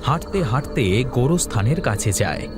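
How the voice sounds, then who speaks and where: A man narrates a scripted cartoon ghost story. His voice has a medium pitch, with music clearly audible behind it. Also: lively intonation, a little fast.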